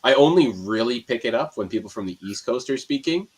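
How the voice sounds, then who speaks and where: A man's voice: mid-range voice, expressive, a little fast, husky. A man from Canada with a Canadian accent, chatting casually and joking, chuckling at his own remarks. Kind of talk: casual chat.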